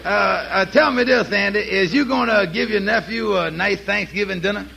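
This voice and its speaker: An actor playing a kindly, sensible family man and loyal friend, raspy and folksy with ungrammatical speech, in 1940s radio comedy. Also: high voice, normal speed, heavy background noise. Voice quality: raspy, creaky. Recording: vintage 1940s radio broadcast.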